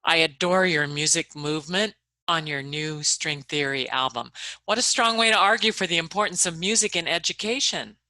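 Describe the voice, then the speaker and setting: A man's voice: on the high side, moderate pace, pushed and bright, no room sound. A man co-hosting an interview who praises the guest's work with effusive enthusiasm. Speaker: co-host. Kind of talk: casual interview.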